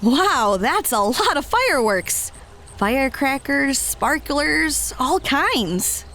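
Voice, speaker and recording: A woman's voice: high voice, big pitch swings, takes it slowly, pushed and bright, slight background noise, exaggerated voice. A woman playing an upbeat, friendly and enthusiastic female fighter in an audio drama. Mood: impressed.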